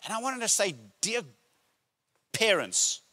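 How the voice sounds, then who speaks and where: A middle-aged man, a pastor preaching a sermon with emphatic, deliberate phrasing and pauses, speaking into a handheld microphone. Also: sits high, sing-song, sharp tone. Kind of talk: sermon to a congregation. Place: church auditorium stage, indoors.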